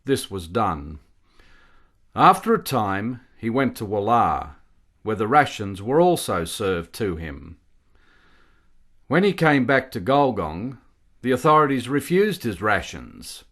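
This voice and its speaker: Colonial squatter's son played by a man, low male voice, matter-of-fact and unfeeling, radio-play monologue of old memories. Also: very animated, slow pace, a bit bright, full character voice. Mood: matter-of-fact reminiscing.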